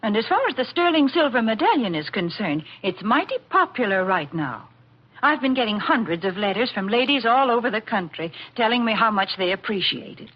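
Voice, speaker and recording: A woman announcer delivering a wholesome, persuasive sales pitch in 1940s radio advertising style. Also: muffled recording. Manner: wholesome, persuasive, clearly enunciated sales talk. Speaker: commercial announcer and co-host. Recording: thin, hissy vintage radio broadcast.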